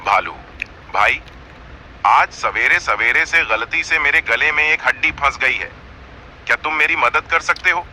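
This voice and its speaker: A man's voice: medium pitch, a little slow, sharp tone, a little hiss or hum, voice pushed down, low-quality recording. A man voicing a proud lion king of the jungle, by turns imploring and imperious, in a cartoon fable. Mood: coaxing.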